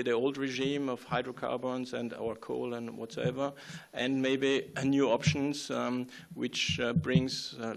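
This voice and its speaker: A man giving a conference talk, halting with many fillers and restarts and often clearing his throat. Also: medium pitch. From Austria. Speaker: conference speaker.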